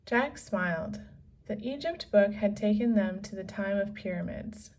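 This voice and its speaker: A woman reading a children's story aloud as narrator, with measured, deliberate phrasing. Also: medium pitch, expressive, a little mellow. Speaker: storybook reader. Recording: slightly distant from the microphone.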